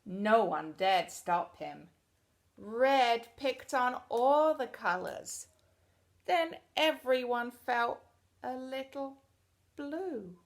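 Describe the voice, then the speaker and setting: A woman teacher reading a storybook aloud to children expressively, pausing to explain things in simple words. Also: a little high, lively intonation.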